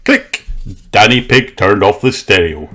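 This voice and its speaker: A man reading a children's picture book aloud in a slow, expressive storytelling way. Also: lowish voice. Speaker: storybook narrator. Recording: narration over background music. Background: background music.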